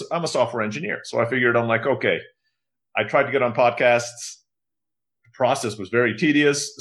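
A man from Istanbul, Turkey, explaining things casually and fluently as an interview guest, with self-deprecating asides. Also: mid-range voice. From grew up in Istanbul, Turkey. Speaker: podcast guest, entrepreneur.

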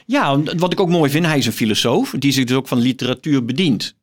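A middle-aged man, a guest professor explaining things in an interview, thoughtfully and emphatically, halting between phrases. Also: mid-range voice, lively intonation. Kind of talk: explanatory interview talk with some reading aloud.

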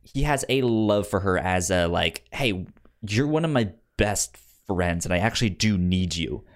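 Man chatting casually, halting delivery. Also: low-pitched, lively intonation. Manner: halting, with stammered repeated word starts. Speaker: podcast co-host. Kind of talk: casual chat discussing a TV series.

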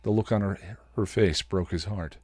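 A man reading fan fiction aloud as a podcast host, in expressive story narration. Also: deep voice, moderate pace, husky, no echo, studio quality.

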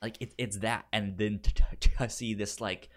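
A man co-hosting a casual film chat, speaking informally and haltingly with a stammer that repeats sounds and syllables. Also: on the low side, steady tone, fairly bright tone. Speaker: film podcast co-host.

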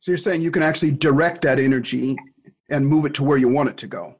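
A man's voice: medium pitch, quick pace. A man hosting a casual podcast conversation who laughs along with his guest and encourages him. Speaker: podcast host.

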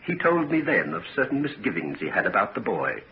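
Grave, low-voiced father, animated delivery. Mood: ominous. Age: middle-aged. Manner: earnest, solemn, deliberate delivery. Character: grave, suspicious, protective father of a grown daughter. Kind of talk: 1940s radio drama acting. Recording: dull, noisy 1940s radio recording.

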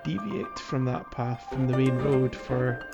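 Casting: podcast co-host, unhurried delivery, casual gaming chat, slightly muffled lo-fi mic. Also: mid-range voice, expressive, creaky, over music. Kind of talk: casual podcast chat about a video game. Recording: slightly dull, lo-fi microphone.

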